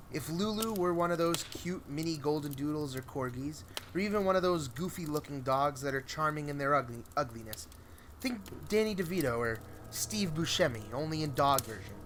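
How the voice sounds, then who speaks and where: A man with a mid-pitched voice hosts a storytelling stream. He speaks informally and loosely, like someone chatting to listeners at home. Clear noise sits behind his voice. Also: bright, forceful tone. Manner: rambling, informal, swears casually. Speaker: podcast host and storyteller. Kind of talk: casual live stream chat between stories.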